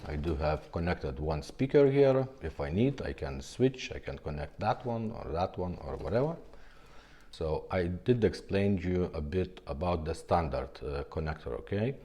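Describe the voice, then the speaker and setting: A man who speaks English as a second language, giving an informal hands-on tutorial in a halting way, often checking in at the ends of phrases. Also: low voice, lively intonation, dark tone. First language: not English. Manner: halting, repeatedly ending phrases with a checking "okay?". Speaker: video tutorial host.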